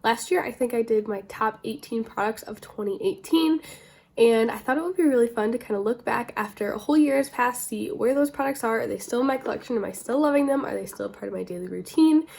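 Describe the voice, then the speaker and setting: Chatty woman, husky and creaky with a cold. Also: on the high side, fast, a little mellow. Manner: informal, chatty, rambling asides. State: getting over a cold. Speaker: beauty video creator, host. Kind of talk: casual chatty beauty vlog.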